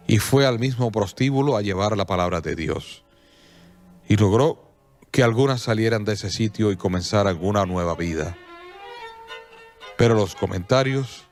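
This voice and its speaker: Male narrator, scripted radio drama: low, measured, faint violin music underneath. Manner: measured, storytelling. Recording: soft violin music behind.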